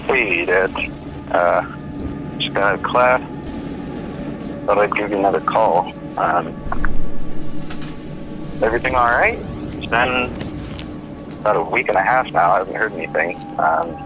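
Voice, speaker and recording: A man acting a worried, awkward college-age son leaving a halting, subdued voicemail in an audio drama. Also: deep voice, music in the background, low-quality recording. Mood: worried, hesitant. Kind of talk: naturalistic fiction podcast voicemail acting.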